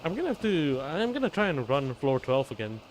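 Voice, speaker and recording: A man's voice: on the high side, very animated, a little fast, a little hiss or hum, rough recording. A man giving casual, muttered live commentary as he streams a video game.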